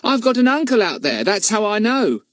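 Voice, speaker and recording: Man playing a polite, apologetic teen telegraph boy, voice pushed way up, lively. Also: high voice, moderate pace, no room sound, voice pushed up. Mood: eager. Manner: meek, apologetic.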